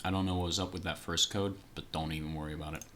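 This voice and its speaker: A man with a very low voice chatting casually as he builds a card deck on a late-night stream, thinking aloud with fillers, picked up by a sensitive desk mic. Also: steady tone, racing. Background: background music.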